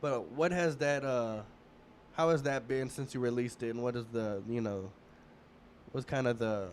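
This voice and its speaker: A man in his thirties hosting a podcast, chatting in a relaxed, rambling way in a casual interview. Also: mid-range voice, moderate pace, slight background noise. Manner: relaxed, rambling, many restarts and fillers. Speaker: podcast host.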